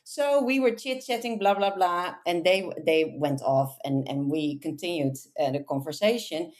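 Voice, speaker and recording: A woman, a podcast guest telling lively, self-amused anecdotes in a casual interview. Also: fairly low pitch, moderate pace. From Netherlands. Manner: chatty, animated, self-amused storyteller.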